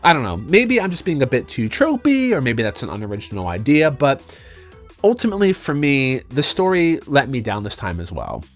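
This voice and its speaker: A man's voice: mid-range voice, wildly swooping pitch, a little fast. A man narrating a video game review in a conversational, emphatic way, as a channel host. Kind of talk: semi-scripted review narration. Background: background music.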